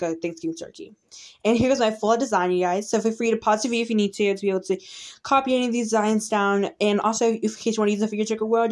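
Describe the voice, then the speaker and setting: A woman's voice: mid-range voice, a little mellow. A woman YouTuber narrating a casual game walkthrough in a chatty, rambling way, often restarting her sentences while filming a tablet screen with her phone.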